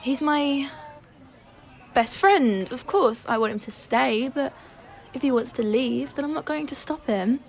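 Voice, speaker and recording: A woman's voice: sits high, animated, measured pace, some chatter behind. A woman playing a guarded, sceptical young loyal friend in an audio drama, hesitant and doubtful.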